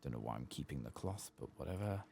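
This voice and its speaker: Male ASMR game streamer: extremely low, creaky voice, soft and murmuring, close-miked. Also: lively intonation, slight background noise. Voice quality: soft, partly whispered. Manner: unhurried, murmuring to himself. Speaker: gaming streamer. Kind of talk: soft-spoken ASMR gameplay commentary.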